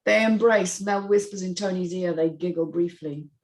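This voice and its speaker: A woman's voice: fairly low pitch, normal speed, echoey room, low-quality recording. A woman narrator reading screenplay stage directions in a scripted style.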